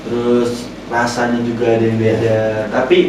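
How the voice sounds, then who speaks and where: Young male guest, 20s, easygoing and halting, far from the mic in a very echoey room. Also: mid-range voice, monotone. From Manado, Indonesia. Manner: easygoing, loose and hesitant, many fillers. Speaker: actor guest. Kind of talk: casual interview chat. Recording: roomy echo from far off, noise behind.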